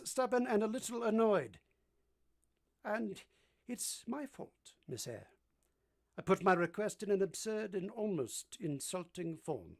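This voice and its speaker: A woman playing a brusque, moody gentleman master in a vintage-style radio drama, commanding and teasing as they question. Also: lowish voice, creaky, slightly rough, exaggerated voice. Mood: brusque, insistent. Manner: imperious, teasing, theatrical questioning.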